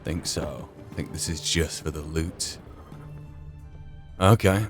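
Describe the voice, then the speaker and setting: Low-voiced man musing over a game. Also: takes it slowly. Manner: musing, thinking aloud, understated. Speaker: gamer, playthrough host. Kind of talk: casual gameplay commentary. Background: game music.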